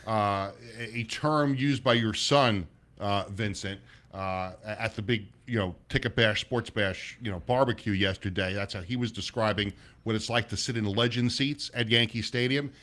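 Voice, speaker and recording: A middle-aged man hosting a casual sports talk show, his voice a little raspy, rambling with many restarts and sounding sluggish. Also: lowish voice, normal speed, a bit bright. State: sluggish, low energy. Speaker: sports radio host.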